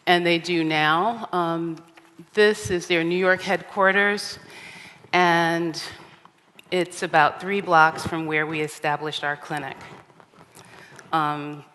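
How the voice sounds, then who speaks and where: A woman artist giving a measured conference talk from a lectern, pausing often with ums. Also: deep voice, a bit bright, in a large hall. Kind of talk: lecture with slides. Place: large theater stage. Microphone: reading at a lectern.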